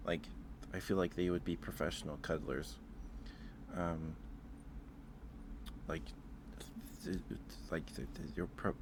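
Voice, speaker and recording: A man streaming casual ASMR chat, talking haltingly, often repeating words and trailing off. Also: low-pitched, background noise. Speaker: streamer hosting a ranking.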